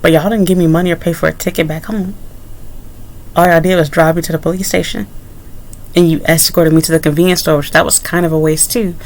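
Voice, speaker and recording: A young woman recounts a personal ordeal straight to camera, loose and candid, drifting into side remarks before picking the thread back up. Her voice sits fairly low with a noticeable gravelly fry running through it. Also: little pitch movement, fast, low-quality recording. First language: English. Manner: candid, rambling with frequent asides. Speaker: vlogger. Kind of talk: casual story-time monologue.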